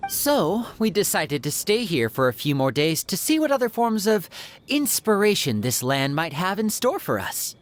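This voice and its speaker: A man's voice: sits high, slight background noise. An actor playing a suave, courteous young male magician in a video game, with a polished, theatrical manner. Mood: genial.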